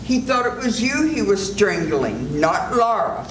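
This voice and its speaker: A woman's voice: mid-range voice, big pitch swings, unhurried. Older woman playing a stern male doctor. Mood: urgent. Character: male doctor, cool, commanding, secretive. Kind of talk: amateur radio play reading. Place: senior center event room, live reading. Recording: echoey room with audible noise.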